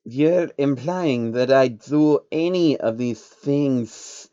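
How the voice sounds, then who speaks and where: A man's voice: mid-range voice, takes it slowly, warm tone, clean tone, no room sound, lo-fi sound, lightly acted. A man voicing a male changeling bug creature with an incredulous, disapproving manner. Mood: indignant.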